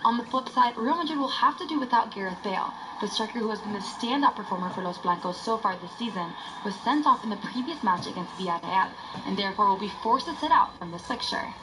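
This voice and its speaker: Female sports narrator over clear noise. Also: medium pitch, expressive, fast, few pauses. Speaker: sports news reporter. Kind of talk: scripted match preview narration. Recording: clear background noise behind voice.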